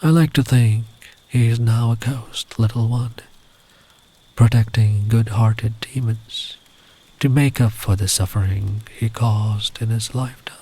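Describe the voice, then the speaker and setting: A man telling a story in a gentle ASMR style, soft and soothing, often slipping into a breathy near-whisper. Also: mid-range voice, steady tone. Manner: soothing, unhurried, tender.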